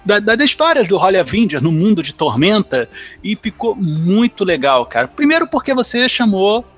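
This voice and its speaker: A Brazilian man with a notably high voice talks as a podcast panelist. His manner is informal and enthusiastic, in the style of a casual group chat. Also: big pitch swings, normal speed, fairly bright tone, slightly rough, faint music behind.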